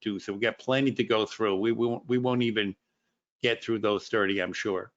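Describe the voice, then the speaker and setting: A man's voice: on the low side, steady tone, moderate pace, dry recording. A man co-hosting a casual online tech session over a video call. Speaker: co-host running the slides. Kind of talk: casual chat. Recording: dull, lo-fi online stream audio.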